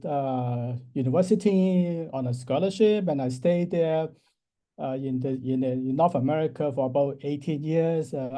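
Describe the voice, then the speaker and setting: A man in his sixties from Hong Kong, a professor answering interview questions in a thoughtful, explaining way. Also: fairly high pitch. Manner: thoughtful, explanatory, frequent restarts and soft laughs. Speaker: university professor, interview guest.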